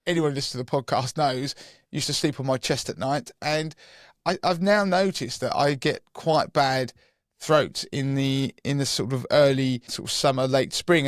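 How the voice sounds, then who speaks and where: A man whose voice is hoarse and croaky after losing it. He talks casually and explains things step by step, with the odd restart. The recording is close and dry, with no room sound. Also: mid-range voice, no room sound. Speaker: podcast co-host, personal finance expert.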